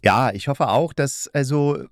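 Male podcast interviewer, mid-pitched, informal and rambling, searching for words, recorded dry. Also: measured pace, dry recording. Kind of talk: casual interview chat.

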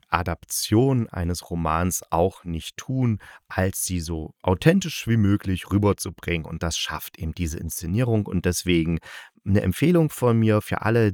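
Male podcast host, low register, colloquial explainer style, dry recording with no room sound. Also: no echo. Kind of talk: casual spoken review, explaining to listeners.

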